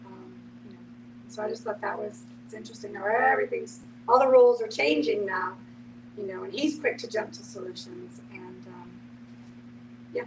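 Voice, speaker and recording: A woman's voice: mid-range voice, normal speed. A woman explaining her thoughts reflectively in a group discussion, often saying "you know" as a podcast panelist. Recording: noisy, distant microphone, background hum.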